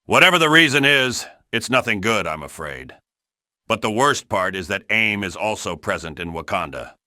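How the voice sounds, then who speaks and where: A man's voice: lowish voice, animated, moderate pace, pushed and bright, no echo, studio quality. A man voicing a serious, authoritative commander briefing a hero team in fan-made game dialogue. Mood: grave. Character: serious, commanding male spy chief briefing heroes.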